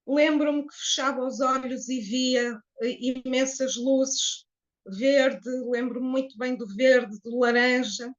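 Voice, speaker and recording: Portuguese woman, late fifties, high voice, reflective guest, muffled sound. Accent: Portuguese (European Portuguese). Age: 50s. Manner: reflective, unhurried, with hesitations. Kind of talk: personal testimony in an interview.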